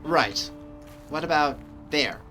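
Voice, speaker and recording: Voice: medium pitch, lively intonation, slow, pushed and bright. An actor playing a curious, eager scientist explorer in a radio drama, explaining things in keen, formal phrasing. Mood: thoughtful. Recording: background guitar music under the voice.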